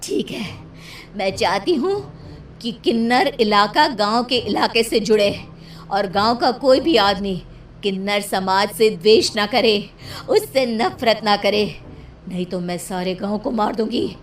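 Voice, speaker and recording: A woman's voice: mid-range voice, measured pace, recorded from a distance, close to an everyday voice. Lady doing vengeful witch ghost lady, threatening n bossy, cartoon folk tale, bit of background noise. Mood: threatening, commanding. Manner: menacing, demanding. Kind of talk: animated moral horror story acting.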